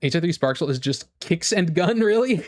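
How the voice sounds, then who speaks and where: A man with a somewhat high-pitched voice talks like a streamer chatting with viewers. He is informal and enthusiastic, thinking aloud and restarting his sentences as he goes. The sound is very dry, as if spoken close into a microphone. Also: animated, brisk, no echo. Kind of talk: casual rambling gaming chat.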